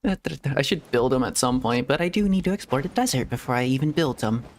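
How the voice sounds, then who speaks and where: A man's voice: mid-range voice, lively intonation, fairly quick, a little hiss or hum. A man streaming a video game, chatting and rambling casually and laughing often. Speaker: VTuber streamer. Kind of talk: casual gameplay stream commentary. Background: faint game music.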